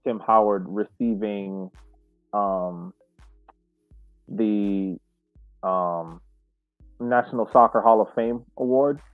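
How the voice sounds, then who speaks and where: A man's voice: on the low side, dry recording. Man on a thin, phone-like line. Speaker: podcast co-host. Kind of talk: conversational sports discussion. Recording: narrow phone-like sound, faint music.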